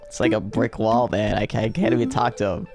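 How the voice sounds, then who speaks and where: A man's voice: on the low side, steady tone, brisk, a little mellow. A man chatting casually as a guest on a game stream, bantering and reading character lines in a laid-back way. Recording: background game music.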